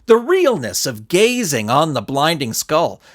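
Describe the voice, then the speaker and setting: A man reading a scripted story aloud in a theatrical, expressive storytelling manner. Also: on the high side, measured pace. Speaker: narrator and author reading his own story. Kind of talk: animated scripted audiobook narration.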